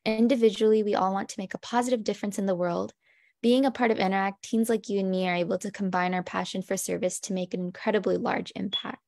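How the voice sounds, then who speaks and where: Earnest teen girl with a gravelly edge. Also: mid-range voice, fairly even intonation, fast, darkish tone, no echo, studio quality. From United States. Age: teens. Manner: earnest, enthusiastic. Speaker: youth panelist. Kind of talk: prepared panel answers. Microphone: video call.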